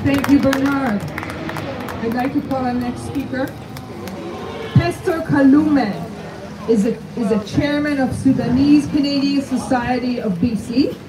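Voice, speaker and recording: A woman with a high voice introduces the next speaker at an outdoor rally in short, formal phrases. She sounds far from the mic, with echo all around. A clear crowd and heavy noise fill the background. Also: unhurried. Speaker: rally emcee.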